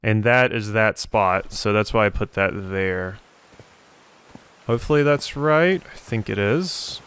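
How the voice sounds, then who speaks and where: A man's voice: fairly low pitch, measured pace, fairly bright tone, smooth. A man doing casual let's play commentary, thinking aloud as he plays.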